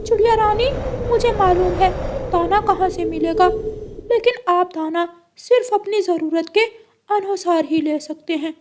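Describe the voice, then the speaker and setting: A woman plays a kindly cartoon parrot who helps others but sets firm rules. The voice sits very high and speaks with emphatic, teacherly care. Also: measured pace, noisy background. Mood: helpful, firm. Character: helpful, cautious parrot who shares stored grain. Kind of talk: children's cartoon voice acting in Hindi.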